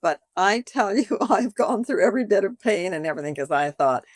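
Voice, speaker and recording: A woman's voice: medium pitch, animated, a little fast, no room sound, studio quality. A woman in her seventies, a boutique owner, chatting animatedly in an interview and acting out conversations with customers. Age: 70s.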